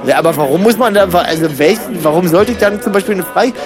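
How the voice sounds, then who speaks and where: Radio comedy: male pub philosopher played by a man, high-pitched, halting and opinionated, music in the background. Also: natural voice. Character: rambling, opinionated atheist drinker drawn to Catholicism. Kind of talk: comic radio play, rambling colloquial dialogue. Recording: music behind the voice.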